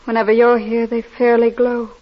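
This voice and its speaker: An actress playing a devout, trusting parson's daughter, polite and earnest, in 1940s radio drama style. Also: female voice, a little high, monotone, normal speed, close to an everyday voice. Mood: earnest, hopeful. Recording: dull, noisy old radio recording.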